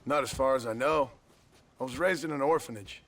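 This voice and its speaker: A middle-aged actor playing a guarded former outlaw who answers in terse, dry, deadpan remarks in a game cutscene. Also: male voice, mid-range voice, fairly quick. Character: weary outlaw gunslinger man, dry and guarded. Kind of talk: video game cutscene acting.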